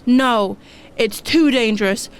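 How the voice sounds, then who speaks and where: A woman playing a solemn, protective warrior mentor with an earnest, measured delivery in a sci-fi audio drama. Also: a little high, slight background noise. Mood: firm.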